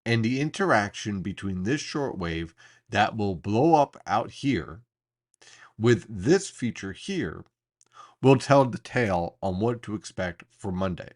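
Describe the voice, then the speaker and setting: Low-pitched man explaining weather maps. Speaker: weather forecaster, host. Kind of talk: unscripted weather briefing over forecast maps.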